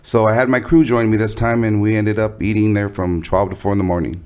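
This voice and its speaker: A man's voice: lowish voice, fairly even intonation, a little fast, a little mellow, clear, resonant tone. A man in his forties from the Los Angeles harbor area, a podcast guest chatting casually and modestly about his life. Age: 40s. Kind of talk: casual interview chat.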